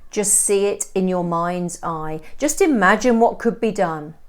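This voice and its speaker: Female teacher for storybook narration, medium pitch, expressive and clearly phrased. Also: a little slow. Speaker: English teacher. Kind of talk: storybook reading aloud.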